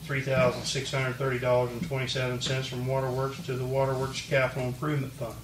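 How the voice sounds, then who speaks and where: Man, Ohio accent, council member, steady reading of figures, roomy echo, distant noisy mic. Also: mid-range voice, fast talker. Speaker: council member presenting resolutions. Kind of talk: reading motions at a town council meeting. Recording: echoey room, far mic, background noise.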